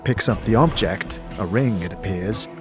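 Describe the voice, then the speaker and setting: A man's voice: on the low side, normal speed. A man narrating a fantasy audio drama, reading scripted, dramatic narration. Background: music.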